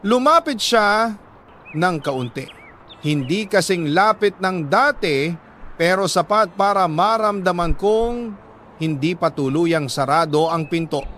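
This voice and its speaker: Higher-pitched Filipino man narrating dramatic love story. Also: animated, unhurried, fairly bright tone, slight background noise. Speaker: storyteller reading a listener's letter.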